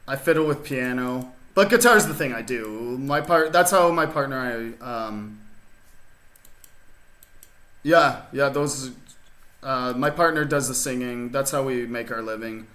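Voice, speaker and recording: Laid-back rambling man, bright roomy voice. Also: medium pitch, unhurried. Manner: rambling and laid-back, with many fillers and restarts. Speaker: chess streamer. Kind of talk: casual chat with viewers while playing. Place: home in a rural desert area. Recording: roomy echo.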